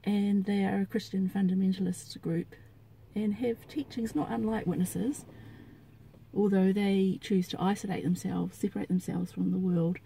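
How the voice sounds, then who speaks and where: A woman's voice: mid-range voice, steady tone, moderate pace, gravelly, dark tone, low-quality recording. A woman chatting casually and matter-of-factly in an outdoor vlog. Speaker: vlogger. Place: outdoors by a suburban road beside a car. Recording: outdoor roadside noise, passing vehicles.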